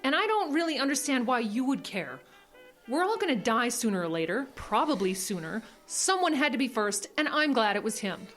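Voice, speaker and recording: Actress as sardonic young woman, audio drama, blunt, weary, bitter, slightly high pitch, faint music behind. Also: big pitch swings, normal speed, a bit bright.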